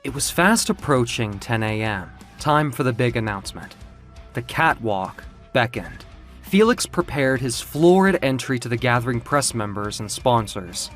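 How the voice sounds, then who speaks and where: Male narrator for dark fiction, medium pitch, dramatic and suspenseful, with music underneath. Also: lively intonation, normal speed. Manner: theatrical, suspenseful. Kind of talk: dramatic scripted story narration.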